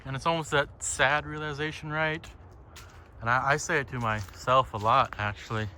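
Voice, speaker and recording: Man, bright forceful voice, halting reflective rambling, casual, outdoor walk, faint background noise. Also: mid-range voice, steady tone. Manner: halting, thinking aloud, mild swearing. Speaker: solo vlogger. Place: outdoors, walking on a trail by a lake.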